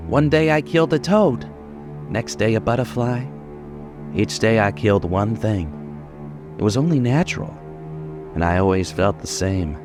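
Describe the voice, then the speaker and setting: A man's voice: fairly low pitch, close to an everyday voice. A man playing a boastful, menacing young killer in an old-time radio crime drama, dwelling on his words. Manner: menacing, gloating, dwelling slowly on details. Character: boastful, unhinged young male killer holding police at gunpoint.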